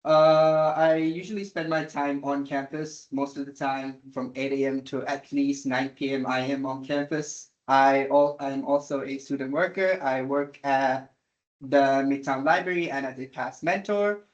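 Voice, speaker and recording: A man in his twenties from Sri Lanka, speaking in a mid-range voice. He talks haltingly and fills his pauses with "uh". The sound is dull and lo-fi. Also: mid-range voice, steady tone. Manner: halting, frequent "uh" fillers. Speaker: candidate. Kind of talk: candidate introduction and answer.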